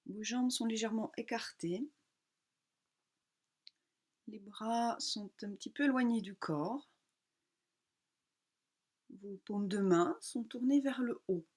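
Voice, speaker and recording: A woman teaching yoga nidra, guiding a relaxation meditation calmly in short, unhurried phrases with pauses. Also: on the high side.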